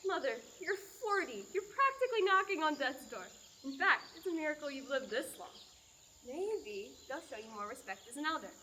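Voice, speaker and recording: A sarcastic peasant daughter played by a young woman speaks in a high, bright, deadpan voice. A faint background hiss sits under her lines. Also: moderate pace, heavily acted. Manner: dry, deadpan morbid humor. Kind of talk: student radio play acting.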